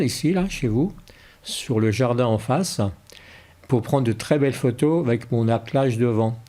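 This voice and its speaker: A man's voice: medium pitch, creaky, dark tone. A man being interviewed in a casual way, repeating words as he searches for his phrasing. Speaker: interview guest, horse-drawn carriage driver.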